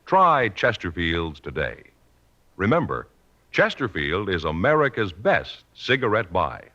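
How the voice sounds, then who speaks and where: Old-time radio ad guy, super lively pitch swings, bright punchy sell, dull vintage sound. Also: measured pace. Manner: emphatic, salesmanlike. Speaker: commercial announcer. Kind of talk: 1950s radio advertising pitch.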